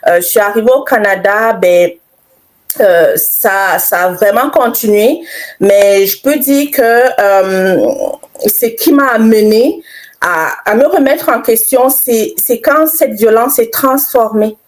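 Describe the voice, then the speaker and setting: A middle-aged woman from Cameroon giving personal testimony in an interview, halting and reflective, repeating phrases for emphasis. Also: on the high side. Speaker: interview guest, author.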